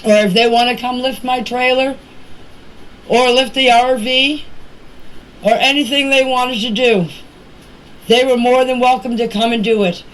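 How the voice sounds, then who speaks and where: A woman's voice: a little high, steady tone, a little slow, long pauses. A woman giving a casual, rambling personal account in plain, folksy words, recorded as voice messages. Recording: noisy voice-message clips with background ambience.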